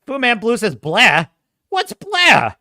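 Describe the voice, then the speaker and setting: A man's voice: very high voice, wildly swooping pitch, takes it slowly, sharp tone, no echo, clean studio sound. A man hosting a casual livestream, chatting and reading viewer comments, with a self-described whiny, nerdy voice, rambling and laughing often. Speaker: host.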